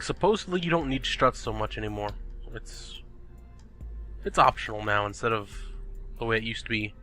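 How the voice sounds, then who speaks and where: A young man talks through a game in a loose, chatty way, thinking aloud and blurting out exclamations. He sounds a little sniffly, and his voice is bright and forceful. Faint music plays behind him. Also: medium pitch. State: sniffly, recovering from illness. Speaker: gaming video host. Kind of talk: casual gameplay commentary. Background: background music.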